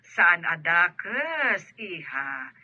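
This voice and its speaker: A woman's voice: lowish voice, sharp tone, slightly rough, dry recording, muffled recording, exaggerated voice. A woman playing an affectionate middle-aged mother coaxing her grown daughter over the telephone in a radio drama.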